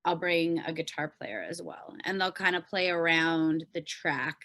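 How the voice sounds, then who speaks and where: A woman's voice: low voice, measured pace, a bit bright, no room sound, studio quality. A Canadian woman chatting casually and informally as a podcast guest, peppering her talk with "like". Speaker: podcast guest, pop singer. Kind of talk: casual chat.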